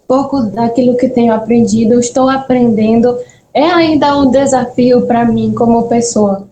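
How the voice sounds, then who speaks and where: A woman's voice: on the high side, dark tone. A woman with a mixed Spanish and Brazilian Portuguese accent, giving a friendly, chatty talk with storytelling over a video call. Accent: mix of Spanish and Brazilian Portuguese. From Bahia, Brazil and Peru. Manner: friendly, chatty, checks in with listeners. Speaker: guest speaker, nurse. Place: echoey room, video meeting. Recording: echoey room, faint background noise.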